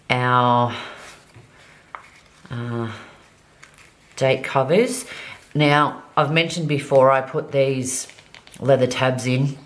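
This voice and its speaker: A woman vlogger chatting casually as she decorates her planner, slightly nasal from a cold, with many ums. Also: a bass voice. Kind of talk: casual plan-with-me narration while decorating.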